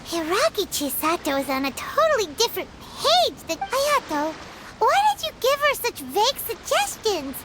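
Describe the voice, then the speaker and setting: A woman voicing a tiny, excitable female fairy companion in a video game, talking in a squeaky voice about herself in the third person. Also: moderate pace, heavy background noise. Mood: puzzled.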